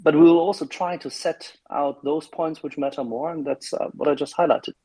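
A man's voice: fairly high pitch, fairly even intonation, moderate pace, dark tone, husky, poor audio. Earnest man explaining the show's aims. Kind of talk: casual panel commentary.

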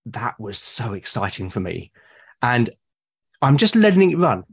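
Man from Britain, podcast guest, husky, mid-pitched, enthusiastic, rambling. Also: very animated, measured pace. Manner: enthusiastic, rambling with many restarts. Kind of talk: casual podcast conversation.

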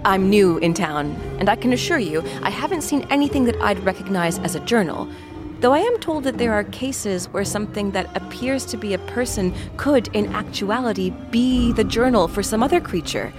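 A woman's voice: mid-range voice, lively intonation, moderate pace, natural voice. A woman playing an inquisitive, articulate young visitor with a wry, emphatic manner in a fantasy audio drama, with music behind.

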